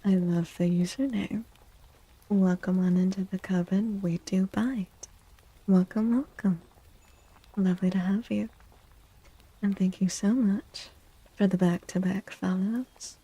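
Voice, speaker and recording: Hushed, husky woman speaking very softly. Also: mid-range voice, steady tone, unhurried, pauses often, low-quality recording. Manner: hushed, often slipping into whispers. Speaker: streamer hosting a live tarot reading. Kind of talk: soft-spoken ASMR.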